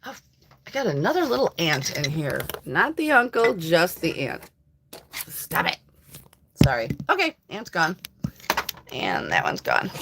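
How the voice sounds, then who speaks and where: A hoarse woman hosting a casual live stream from her country home, chatting to viewers in a rambling way and laughing often. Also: low voice, wildly swooping pitch, unhurried, long pauses, noisy background. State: hoarse from allergies. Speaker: livestream host. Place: rural home.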